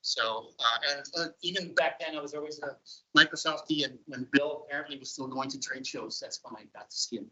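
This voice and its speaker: A man's voice: a little high, brisk, sharp tone. A middle-aged man co-hosting a meetup, talking informally to a group in a chatty, rambling, folksy way on a dull, muffled recording. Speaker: meetup co-host and presenter.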